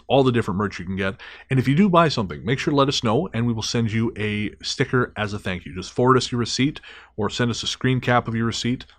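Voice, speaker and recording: Relaxed low-voiced man hosting a podcast, dry sound. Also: no room sound. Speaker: podcast co-host. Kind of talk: casual podcast chat.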